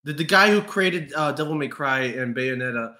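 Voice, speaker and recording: A man chatting casually as a podcast panelist, excitable and stammering, often repeating his words. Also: medium pitch, normal speed. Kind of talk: casual group chat.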